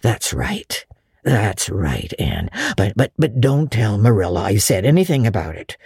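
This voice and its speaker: Storybook older countryman, acted by a woman: deep, breathy, bashful, kindly, haltingly coaxing. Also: very animated, no room sound, clean studio sound, heavily acted. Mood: relieved, secretive. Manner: hesitant, hushed, repeats himself. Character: shy, kindly older farm man, gently coaxing.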